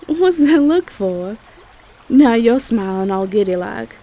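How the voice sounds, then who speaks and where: A woman's voice: a little high, lively intonation, takes it slowly, dark tone. A woman playing an affectionate, cheerful young Southern belle girlfriend in a roleplay audio, with a Southern US accent. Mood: delighted, encouraging. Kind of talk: intimate roleplay audio acting.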